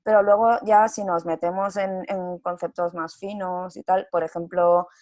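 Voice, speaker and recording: A woman's voice: on the low side, level tone, normal speed, darkish tone. A woman with a Spanish accent from Spain, talking casually and expressively as an interview guest.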